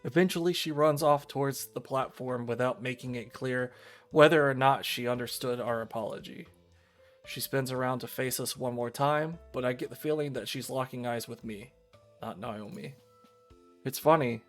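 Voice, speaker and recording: A man reading and talking through a visual novel on a livestream. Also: mid-range voice. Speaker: streamer.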